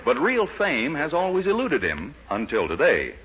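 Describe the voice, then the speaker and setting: A man's voice: a little high, huge pitch swings, normal speed, over a noisy crowd, very noisy. A man announcing a 1940s radio show, reading scripted copy with an emphatic, polished salesman's delivery. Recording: dull, lo-fi 1940s radio recording.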